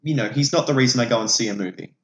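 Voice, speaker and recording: A man's voice: medium pitch, rapid-fire. A man, an opinionated podcast host who swears freely while casually discussing films. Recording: echoey room, audible background noise.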